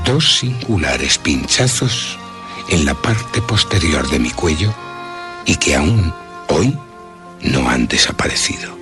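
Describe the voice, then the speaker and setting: A man playing a haunted narrator who solemnly recalls a monstrous attack in a radio horror drama. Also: low-pitched. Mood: haunted, brooding. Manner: ornate, solemn, deliberate storytelling.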